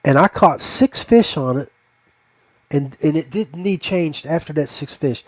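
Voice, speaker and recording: An older man hosting a fishing podcast, talking casually in a halting, rambling way. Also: a little high, expressive, no room sound.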